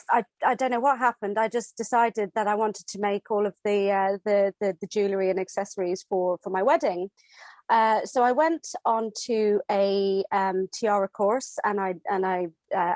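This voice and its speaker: A woman in her forties from Dublin, speaking English with no Irish accent, telling her story as a podcast guest, halting and full of fillers. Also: mid-range voice, no room sound. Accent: none; anglicised English. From Ireland, Dublin. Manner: hesitant, many fillers and restarts. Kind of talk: casual interview chat.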